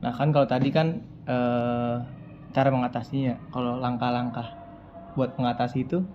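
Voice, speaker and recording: A man's voice: mid-range voice, flat delivery, moderate pace. A young man interviewing a fellow student, asking polite, hesitant questions with many fillers. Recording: muffled lo-fi audio with faint background noise.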